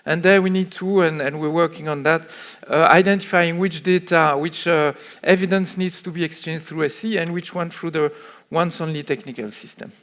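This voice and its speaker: A man answering on a conference panel in a fairly high voice. He talks haltingly, stopping often for fillers and starting phrases over. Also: steady tone, fairly bright tone. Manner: hesitant, many fillers and restarts. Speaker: panelist from a public authority.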